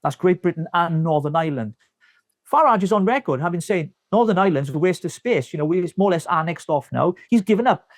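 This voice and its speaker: A man's voice: fairly high pitch, lively intonation, fast talker, no echo, clean studio sound. A man with a Welsh accent, speaking emphatically as a party representative in a political interview. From Wales.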